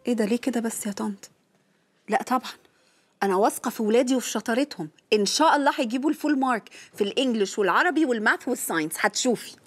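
An anxious, stubborn Egyptian wife in her thirties played by an actress in a TV comedy. She talks fast in a fairly high, fretful voice, with faint music behind. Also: natural voice. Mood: worried. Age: 30s. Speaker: actor in a TV comedy series. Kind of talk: comic TV drama dialogue. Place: living room set, indoors.

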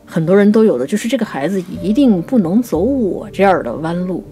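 A woman co-hosting a poetry programme, explaining classical literature conversationally and slowing down to recite quotations. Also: mid-range voice, expressive, mellow, soft background music. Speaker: writer and co-host of a poetry programme.

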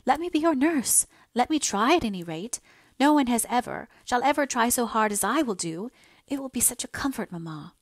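A woman's voice: on the high side, animated, moderate pace, no echo, pitched above the performer's own voice. A woman acting an earnest, pleading young daughter. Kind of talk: dramatised audiobook dialogue.

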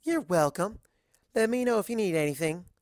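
A narrator voicing a friendly, chatty waitress pony who gently reassures and encourages in a read-aloud story. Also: medium pitch, lively intonation, moderate pace, no room sound, studio quality, pitched above the performer's own voice, lightly acted. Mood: reassuring.

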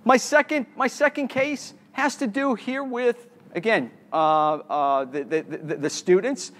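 A man's voice: sits high, a little slow, a bit bright. A middle-aged man giving a conference keynote, often stumbling and repeating words as he restarts. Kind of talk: conference talk with slides. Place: conference room.

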